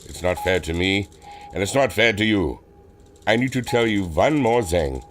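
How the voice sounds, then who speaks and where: A man's voice: lowish voice, lively intonation, normal speed, slight background noise, close to an everyday voice. A man playing an earnest, remorseful German airship adventurer with a German accent, solemn and melodramatic. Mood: solemn confessing. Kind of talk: old-time radio adventure serial acting.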